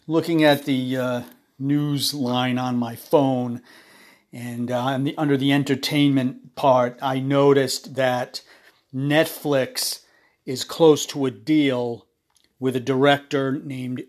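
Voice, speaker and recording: A man hosting his own podcast while ill, his voice raspy, talking haltingly with many ums and uhs into a phone. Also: medium pitch. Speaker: podcast host. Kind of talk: casual solo podcast monologue.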